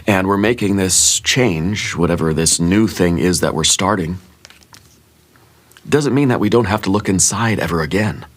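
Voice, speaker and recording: A man giving a soft-spoken ASMR tarot reading in a musing, gently reassuring way, a little congested with a sore throat. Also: deep voice, normal speed. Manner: musing, gently reassuring, thinks aloud with fillers. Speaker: tarot reader.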